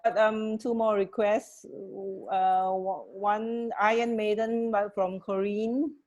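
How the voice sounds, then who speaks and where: A woman's voice: medium pitch, little pitch movement, slow, darkish tone, lo-fi sound. A middle-aged woman co-hosting a radio show in casual chat over a video call. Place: indoor room, video call.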